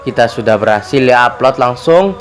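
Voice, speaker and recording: A slightly hoarse man narrates a casual tutorial as host, chatty and fond of repeated verbal tags. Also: medium pitch, expressive, moderate pace, soft background music.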